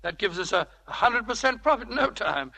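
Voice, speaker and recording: High-voiced bumbling English gent. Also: male voice, lively intonation, moderate pace, pushed and bright, heavily acted. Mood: flustered. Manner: stammering, blustery, dithering. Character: amiable, bumbling, childlike upper-class English gentleman. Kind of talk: 1940s radio acting. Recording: vintage radio broadcast with rough audio.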